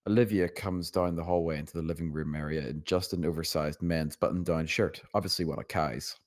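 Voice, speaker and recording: A man's voice: low-pitched, fairly even intonation, fairly quick. A man narrating a screenplay, reading out the scene directions.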